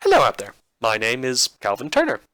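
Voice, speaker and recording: Bright, campy male podcast host. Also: mid-range voice, huge pitch swings, fairly quick, no echo, clean studio sound. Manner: campy, hesitant. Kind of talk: informal outro chat to listeners.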